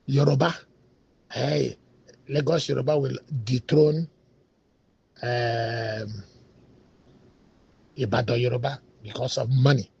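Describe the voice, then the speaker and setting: A man's voice: mid-range voice, gravelly, darkish tone, slightly rough, no echo. A man with a Nigerian Igbo accent, a native Igbo speaker, giving rambling casual commentary that switches between English and Igbo with many hesitant fillers. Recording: dull lo-fi recording.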